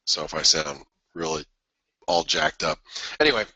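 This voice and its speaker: Stuffy, raspy low-voiced man. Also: expressive, normal speed, bright, forceful tone, no room sound. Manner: rambling, many restarts and fillers. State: stuffy nose from a head cold. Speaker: host of a trading webinar. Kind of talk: casual market analysis talk.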